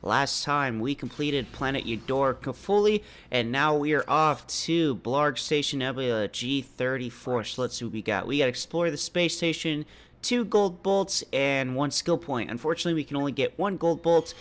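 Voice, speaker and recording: A man's voice: mid-range voice, expressive, normal speed, a bit bright. A man with a stuffy, congested nose, chatting casually as host of a let's play. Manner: chatty with fillers and laughs. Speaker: gaming channel host.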